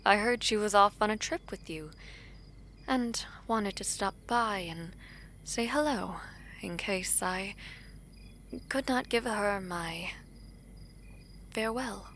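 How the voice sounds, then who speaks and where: A woman playing a guarded, proud princess in a fantasy audio drama, speaking formally and deliberately with breathy phrase endings. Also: mid-range voice, fairly bright tone, slight background noise. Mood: polite, awkward. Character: guarded, regal princess, a proud and protective sister.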